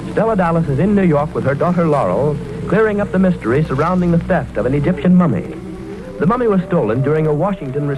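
Male announcer for old radio serial, raised register, scripted narration, muffled sound. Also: fairly high pitch. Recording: muffled, faintly noisy old radio recording.

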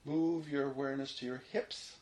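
A man leading a guided meditation, giving unhurried, repeated instructions with long pauses between them. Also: mid-range voice, fairly even intonation, darkish tone. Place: informal indoor room. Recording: roomy echo, faint background noise.

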